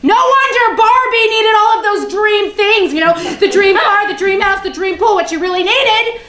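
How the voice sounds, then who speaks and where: A woman's voice: very high-pitched, normal speed. A woman performing a theatrical monologue as a defiant, ranting everywoman, sardonic and emphatic in her delivery. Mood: mocking, gleeful. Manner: sardonic, emphatic, swinging from hushed confiding to outraged ranting. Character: ranting, defiant everywoman gleefully confessing she killed Barbie. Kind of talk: theatrical monologue at a staged reading. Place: live staged reading in a room. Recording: echoey room with background noise.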